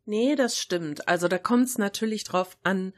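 A middle-aged woman co-hosts a podcast. Her voice sits at an average pitch for a woman. She talks in a colloquial, chatty way, as if reminiscing with a friend. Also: a little slow. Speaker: podcast co-host. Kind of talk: casual chat, reminiscing.

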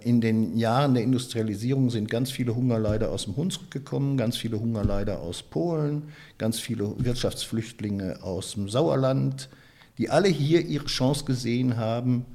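A man from the Ruhr area, giving a reflective interview and often pausing with hesitations and restarts. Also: medium pitch, warm tone. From Ruhr area, Germany. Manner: thoughtful, many hesitations and restarts. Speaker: interviewee, photographer and author.

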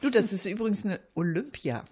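A woman co-hosting a satirical cabaret radio show, with a husky voice and dry irony. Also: medium pitch, lively intonation, fairly quick, darkish tone.

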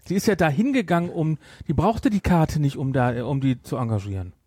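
A man's voice: fairly high pitch, animated, fairly quick, mellow, slightly rough, no echo, studio quality. A man co-hosting a podcast in casual chat, emphatic and opinionated, repeating himself to press his point. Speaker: podcast co-host. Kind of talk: casual chat reviewing audio drama.

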